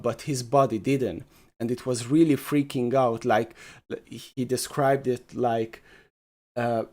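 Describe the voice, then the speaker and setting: A man giving an explanatory self-development talk as a spiritual teacher, speaking in a deliberate, emphatic way and repeating key phrases. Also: mid-range voice, darkish tone.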